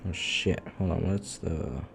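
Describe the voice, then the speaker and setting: Low-voiced man, sweary and halting. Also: creaky, fairly bright tone, a little hiss or hum. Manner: muttering, sweary, halting delivery. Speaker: live streamer. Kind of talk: casual off-the-cuff stream chat.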